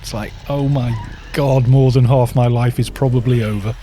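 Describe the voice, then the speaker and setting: A man's voice: mid-range voice, fairly even intonation, moderate pace, warm tone, slight background noise. A British man in his fifties chatting in a rambling way while streaming a game and reading out viewer chat. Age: 50s. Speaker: gaming streamer.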